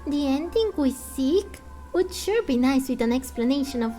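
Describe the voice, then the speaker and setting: A woman acts out a little fairy game companion, excitable and naive. Her voice is very high and jumps higher as she exclaims and stammers. Soft music plays faintly behind her. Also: moderate pace. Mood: flustered.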